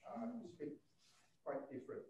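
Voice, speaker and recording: A man's voice: fairly high pitch, animated, normal speed, dark tone. Man heard far off, very echoey. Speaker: class participant. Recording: very echoey, distant, light hiss.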